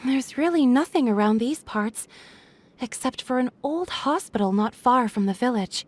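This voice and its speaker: A woman playing a guarded young village woman in a video game, speaking in a hesitant, measured, confiding way. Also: fairly high pitch, animated. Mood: confiding, thoughtful. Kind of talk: dramatic video game dialogue.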